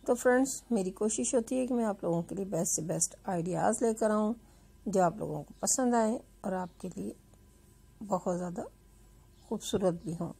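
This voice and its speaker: A woman presents a slideshow in a chatty way, talking to her viewers like friends. Her voice is mellow and rather breathy, at a middling pitch. She tends to circle back and repeat her phrases as she goes. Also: normal speed. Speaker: video channel host.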